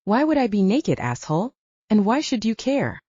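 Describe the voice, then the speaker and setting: A woman's voice: medium pitch, big pitch swings, normal speed, dark tone, husky, no echo, muffled recording, pitched above the performer's own voice. A woman voicing a heartbroken, stubborn young werewolf woman, fiery and bitter, in an audiobook romance. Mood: angry. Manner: foul-mouthed, bitter. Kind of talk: audiobook romance character acting.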